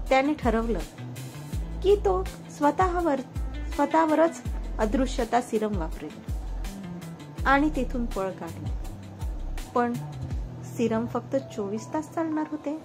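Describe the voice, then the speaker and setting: Slow, high-voiced woman storyteller over music. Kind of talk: scripted story narration. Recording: music under the voice.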